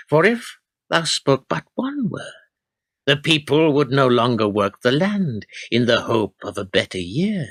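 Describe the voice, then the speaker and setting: An actor playing a cruel, menacing middle-aged lord in a radio play, speaking in archaic, theatrical phrases. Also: male voice, medium pitch, fairly bright tone, dry recording, heavily acted.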